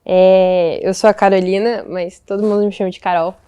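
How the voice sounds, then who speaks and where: A nineteen-year-old woman, answering interview questions about a photo book she co-created in a thoughtful, measured way. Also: mid-range voice, fairly even intonation, warm tone, clean tone.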